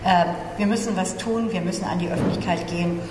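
Older woman, low-pitched, echoey hall. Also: steady tone, quick pace. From East Germany. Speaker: panelist. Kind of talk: self-introduction at a panel discussion. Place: large conference room indoors. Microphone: table gooseneck microphone. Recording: echoey hall with clear background noise.

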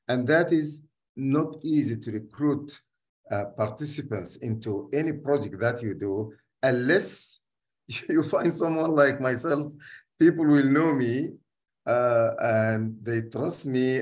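A man's voice: medium pitch, a little mellow. A man working as a doctor and community leader, whose first language is Arabic, speaking in a hesitant way with many restarts in a casual discussion.

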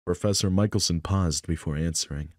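Male narrator for story game read-through, deep voice, dry sound. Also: fairly quick, dry recording. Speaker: narrator reading a visual novel aloud. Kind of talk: scripted narration with offhand asides.

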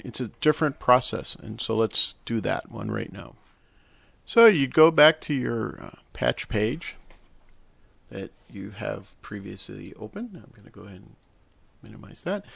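Guy doing a casual screen tutorial, gravelly fry in his voice, really dry close sound, pauses as he explains. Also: mid-range voice, fairly bright tone, no room sound. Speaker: tutorial host. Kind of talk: casual software tutorial narration. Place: close, dry indoor voice-over for a screen recording.

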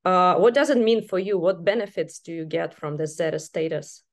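A young woman hosting an interview over a video call, reading her introduction in a measured way and asking questions. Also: fairly low pitch. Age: young adult. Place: indoor room with bookshelves. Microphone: video call laptop mic.

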